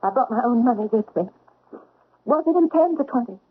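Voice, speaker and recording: An actress playing a shrewd, scolding frontier widow postmistress in a radio drama, commanding and folksy. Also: female voice, a little high, lively intonation, brisk, breathy edge. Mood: brisk, commanding. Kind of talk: old-time radio drama acting. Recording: muffled old radio drama recording.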